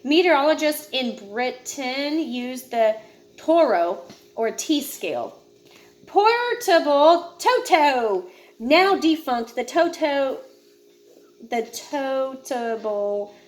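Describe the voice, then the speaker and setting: A woman reading a nonfiction book aloud, informally, with casual asides and stumbles she corrects herself. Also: high voice. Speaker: read-aloud presenter.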